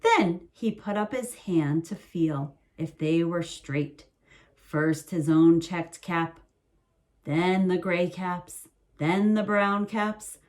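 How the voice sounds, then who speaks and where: A woman reading a picture book aloud as a bedtime story, in a slow, soothing, expressive way. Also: lowish voice.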